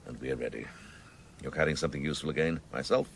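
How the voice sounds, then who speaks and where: An actor playing a sardonic, domineering senior detective in a radio drama, speaking in an arch, commanding, clipped and gravelly way. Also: male voice, rumbling low, fast, slight background noise. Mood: eager, intent.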